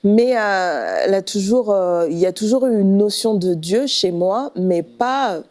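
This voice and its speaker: A middle-aged woman from the Paris region with a French accent, telling her personal testimony conversationally, often pausing to rephrase. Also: medium pitch, lively intonation, a little mellow. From Paris region, France. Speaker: pastor, guest giving testimony.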